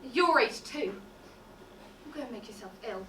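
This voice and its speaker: A woman playing a sharp-tongued, sarcastic housekeeper who scolds her employer. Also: fairly high pitch, lively intonation, normal speed. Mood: scolding. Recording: roomy stage sound from far off with noise.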